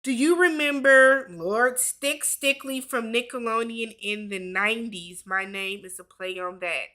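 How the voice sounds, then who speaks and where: Bright-voiced chatty woman host. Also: a little high, steady tone, unhurried. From Milwaukee, Wisconsin. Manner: chatty, laughs often, reads viewer comments aloud. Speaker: YouTube host. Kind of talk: casual livestream Q&A chat.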